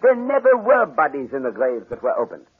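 Self-assured male detective, French accent, husky voice, animated swooping delivery, muffled old 1940s radio recording. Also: on the high side, fairly quick. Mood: explaining, knowing. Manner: theatrical, precise, formal phrasing. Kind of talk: 1940s radio mystery acting.

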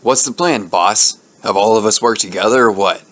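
A man's voice: fairly low pitch, big pitch swings, slow, a bit bright, voice pushed down, heavily acted. A man voicing a hot-headed male mutant henchman who snaps and barks his lines. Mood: surly. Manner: snappish, barking.

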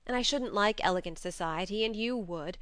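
A woman's voice: mid-range voice, a little fast, fairly bright tone, clean tone, no echo, natural voice. A woman voicing a frank, resolute but tender young woman in an audiobook, earnest, imploring and firm. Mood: solemn, insistent. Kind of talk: audiobook character voice.

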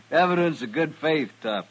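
An actor playing a polite, persuasive, self-assured city buyer in an old-time radio western. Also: male voice, a little high, expressive, takes it slowly, slightly rough, full character voice, poor audio. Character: smooth-talking, insistent male city buyer. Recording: vintage radio recording.

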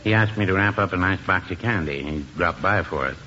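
An actor playing a folksy small-town shopkeeper with a dry, understated joking manner, in 1950s radio comedy style. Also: male voice, low voice, a little fast, sharp tone. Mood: folksy amusement. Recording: very noisy, muffled 1950s radio recording.

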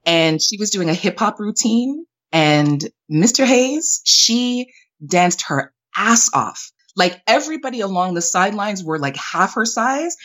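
A woman's voice: medium pitch, lively intonation, a little slow, fairly bright tone. A middle-aged woman born in Jamaica and raised in Canada, a guest talking earnestly in a casual interview with frequent fillers.

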